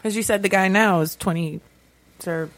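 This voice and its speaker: A woman's voice: mid-range voice, normal speed. A woman talking casually as a panelist on a chat show.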